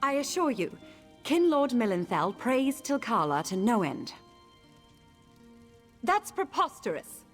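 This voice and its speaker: A woman playing a haughty, imperious high elf noblewoman in a video game, speaking formally and defensively. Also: very animated, slow, long pauses, soft background music. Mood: indignant. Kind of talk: video game character acting.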